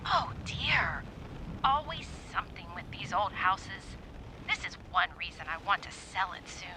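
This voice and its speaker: A woman's voice: medium pitch, very animated, unhurried. Lilting, solicitous landlady. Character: fussy, solicitous female landlady. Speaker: landlady character. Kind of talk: phone conversation in an audio drama. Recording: noisy telephone call.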